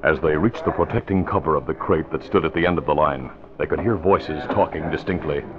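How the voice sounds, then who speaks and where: A man's voice: extremely low pitch, fairly quick. A man giving scripted narration for a radio drama in 1940s style. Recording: muffled old radio recording.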